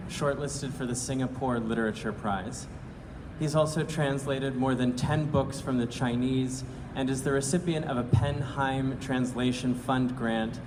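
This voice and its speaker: A man, acting as event host, gives a clear, formal introduction of a speaker to a live audience. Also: mid-range voice. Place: large event hall. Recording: roomy hall with background noise.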